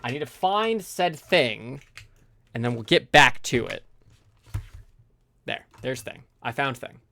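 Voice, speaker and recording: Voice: mid-range voice, lively intonation, slow, creaky, sharp tone. A streamer reading a review aloud in a casual live commentary style, breaking off for informal, sweary asides.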